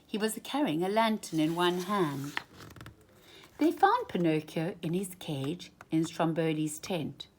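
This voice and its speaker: A woman storyteller with a slightly low voice reads a children's story. She takes her time and varies her pitch widely, leaning on words for dramatic effect. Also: expressive, a little hiss or hum. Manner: deliberate, dramatic emphasis. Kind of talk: expressive children's storybook reading.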